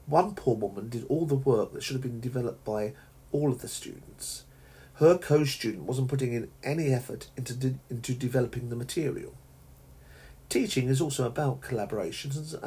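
A man hosting a podcast, recounting his teacher-training work in a measured way with frequent restarts and self-corrections, his throat dry. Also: mid-range voice, a little mellow, husky. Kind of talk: reflective solo podcast monologue.

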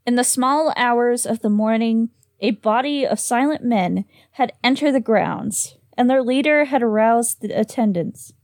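A woman's voice: fairly high pitch, unhurried, hardly any pauses, darkish tone, dry recording, clean studio sound. A woman reading a horror story aloud with a slight lisp on s sounds, sometimes tripping over words. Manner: giggly, trips over words. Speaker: reader and co-host.

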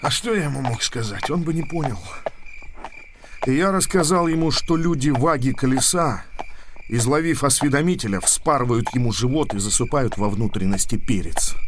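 A man's voice: medium pitch, natural voice. Actor as timid bookish man, noisy background. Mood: bitter, resigned. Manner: deferential, bitterly ironic. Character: timid, bitter bookish commoner, gentle and wary. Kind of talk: radio drama monologue and dialogue. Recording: radio play with noisy background.

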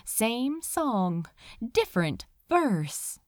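A woman voicing an anguished, lovelorn male demon in a storybook, halting and bitterly exasperated. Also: on the high side, big pitch swings, no room sound, clean studio sound, full character voice. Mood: desperate.

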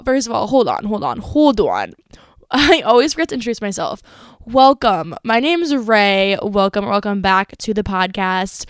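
Young woman solo podcast host, bright-pitched, smooth clear voice, playful and loose with swearing. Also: no echo, studio quality. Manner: chatty and playful, swears freely. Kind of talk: casual solo podcast chat.

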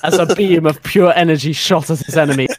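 A man's voice: fairly high pitch, fast, heavy background noise. A man doing a dramatic fan fiction reading and joking around casually as a podcast host.

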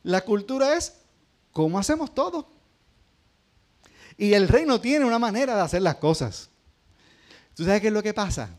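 A man's voice: high voice, big pitch swings, normal speed, pauses often. A man with a Puerto Rican accent preaching a sermon as pastor, talking to the congregation in a conversational way and asking them questions. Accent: Puerto Rican Spanish. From Puerto Rico.

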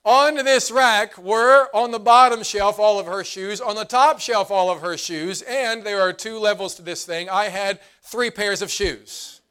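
Hoarse pastor preaching earnestly. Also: male voice, sits high, bright, forceful tone. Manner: earnest preaching, slows and drops to emphasize points. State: hoarse, losing his voice. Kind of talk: sermon.

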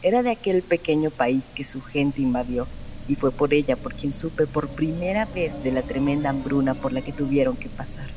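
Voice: medium pitch, darkish tone, a little hiss or hum, natural voice, poor audio. An actor playing a bitter, relentless officer interrogating suspects in a Spanish audio drama.

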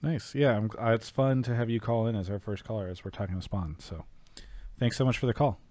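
A man's voice: on the low side, brisk, mellow, no echo. Casual male call-in host, close dry sound. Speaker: host of an esports call-in show. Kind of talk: casual banter and call-in hosting.

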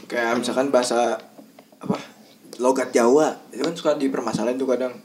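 A young man in casual group talk, animated and quick to laugh. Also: medium pitch, a crowd in the background.

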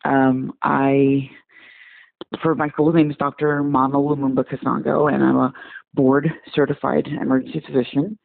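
A man in his forties, raised in Congo, speaks as a physician guest with earnest answers that circle back over his words. His voice is gravelly, and it comes through dry and tinny, like a phone call. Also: mid-range voice, level tone, normal speed, no echo. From Democratic Republic of Congo. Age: 40s. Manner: earnest, repeats words while searching for phrasing. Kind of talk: podcast interview answers.

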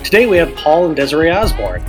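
A man hosting a podcast interview, relaxed and casual with hesitant fillers. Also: a little high, fast, soft background music. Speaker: podcast host.

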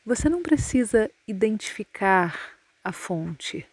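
A woman with a Brazilian Portuguese accent leads a guided yoga nidra meditation, giving calm, soothing instructions with long pauses. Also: medium pitch, breathy edge, no echo.

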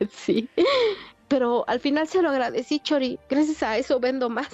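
Mexican lady in her 40s, kinda high voice, telling her life story, muffled lofi audio w faint music. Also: measured pace, darkish tone. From State of Mexico, Mexico. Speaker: interview guest, online creator. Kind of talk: personal storytelling in casual conversation.

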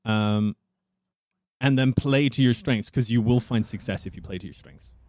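A man from South Africa, an actor chatting casually on a podcast with a husky, soothing voice and a thoughtful manner. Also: mid-range voice, moderate pace, a little mellow, no room sound.

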